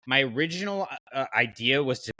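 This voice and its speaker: A man's voice: medium pitch, expressive, fast talker, sharp tone, no echo. A man hosting a podcast in a casual, enthusiastic and conversational way. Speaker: podcast host.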